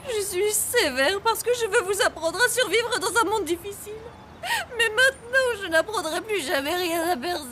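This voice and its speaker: Tearful, very high-pitched cartoon schoolteacher. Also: female voice, lively intonation, normal speed, very noisy. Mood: despairing. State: crying. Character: tearful, self-blaming female schoolteacher, usually strict. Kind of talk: French cartoon dub acting.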